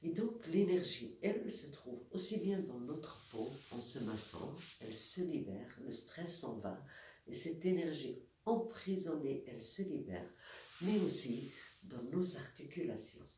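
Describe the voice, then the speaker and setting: A woman with a cold, calmly leading a guided exercise as a wellness instructor and demonstrating movements step by step. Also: sits low, a little slow. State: has a cold. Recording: echoey room, voice from far off, faint noise.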